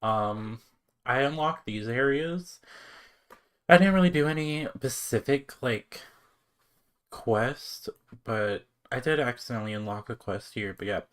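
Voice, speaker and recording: A man's voice: mid-range voice, measured pace, fairly bright tone. A man livestreaming a game with casual, slangy, chatty commentary.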